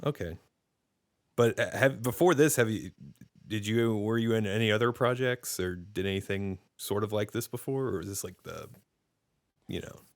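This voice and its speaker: A man hosting a podcast interview over a video call, asking rambling, halting questions full of restarts. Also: on the low side, no room sound. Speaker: podcast host. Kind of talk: casual interview chat.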